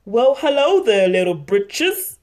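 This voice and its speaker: A woman's voice: fairly high pitch, big pitch swings, slow pace, a little mellow. A woman voicing a big, friendly, protective male bear with a folksy drawl for a children's storybook. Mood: affectionate.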